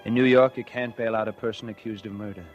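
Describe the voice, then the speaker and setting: A man's voice: fairly low pitch, fairly even intonation, quick pace, lightly acted. An actor playing a guilty, scheming man who confides his crime in 1940s radio suspense style. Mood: anxious, distressed. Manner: confessional first-person narration. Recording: vintage 1940s radio with loud music.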